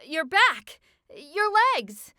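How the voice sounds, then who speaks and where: A man voicing an emotional, awestruck sixteen-year-old girl with a breathy delivery in an audiobook. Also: extremely high pitch, sing-song, very slow, pushed and bright, no room sound, clean studio sound, pitched above the performer's own voice, exaggerated voice. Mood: amazed.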